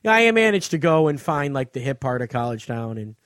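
A man's voice: medium pitch, big pitch swings, a little fast, a bit bright, no echo, clean studio sound. An American man hosting a podcast, chatting casually and telling stories in an informal, rambling, sweary way. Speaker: podcast host and touring comedian.

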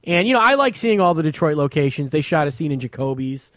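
An American man with a fairly high-set voice, recorded dry with little room sound. He talks conversationally as a show co-host, repeating himself and circling back as he goes. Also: sing-song, fast, fairly bright tone, dry recording. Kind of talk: casual chat about television.

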